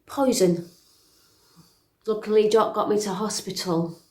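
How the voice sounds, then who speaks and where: In a roomy, echoing space, a woman acts a middle-aged fairytale princess looking back on her life. She talks slowly and confidingly, with dry, understated wit and a weary edge, as in an intimate stage monologue. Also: medium pitch, fairly even intonation, a little mellow, close to an everyday voice. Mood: dry, reflective. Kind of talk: dramatic monologue, conversational.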